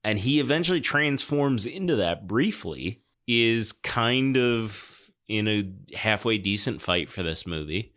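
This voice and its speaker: Male podcast host, lowish register, unhurried and deliberate, dryly understated casual movie chat. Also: expressive, fairly bright tone. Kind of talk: casual film discussion.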